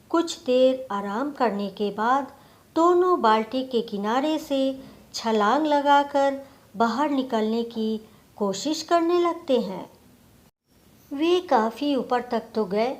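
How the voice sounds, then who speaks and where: A woman narrating a scripted moral story for children. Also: sits high.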